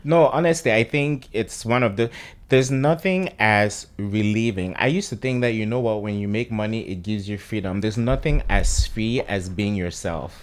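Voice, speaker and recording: A man's voice: lowish voice, moderate pace. A man from Cameroon, a guest talking candidly and casually, with lots of fillers. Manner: candid, chatty, with many fillers. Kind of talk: casual conversation. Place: Washington, D.C.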